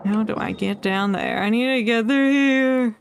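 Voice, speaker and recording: A woman's voice: on the high side, normal speed, vocal fry, clear, resonant tone. A woman giving casual live commentary on a game, thinking aloud as the game music plays behind. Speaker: game streamer.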